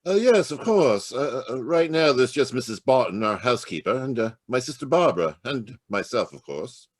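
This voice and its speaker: Formal, guarded rich heir played by a man, animated. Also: medium pitch, normal speed. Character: formal, guarded adult son of murdered millionaire. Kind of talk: old-time radio mystery acting. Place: remote video call performance.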